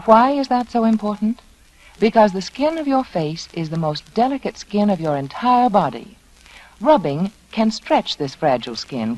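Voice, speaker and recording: A woman spokesperson reading a scripted 1940s radio commercial in a deliberate, instructive way. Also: lowish voice, a little mellow, rough recording. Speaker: advertising spokeswoman. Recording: vintage 1940s radio broadcast.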